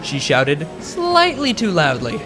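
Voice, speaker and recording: A man reading scripted fiction as an expressive narrator, audiobook style. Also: fairly high pitch, slow pace, rough recording. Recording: music behind the voice.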